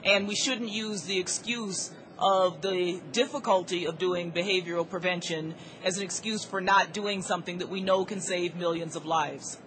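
A woman answering a question on a panel, measured and thoughtful, with frequent pauses and restarts. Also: fairly low pitch, fairly even intonation, a bit bright, a little hiss or hum, low-quality recording.

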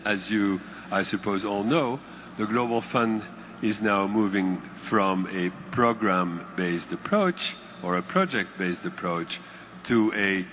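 A man giving formal, measured remarks on a conference panel. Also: fairly low pitch, fairly bright tone. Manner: measured, formal, occasional ums. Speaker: panel speaker. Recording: dull muffled sound, faint noise.

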